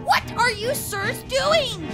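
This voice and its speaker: An actor playing an exasperated, bossy, proper little boy detective in a comic fan dub, shrill and scolding. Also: animated, slow pace, heavily acted. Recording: loud music under the voice.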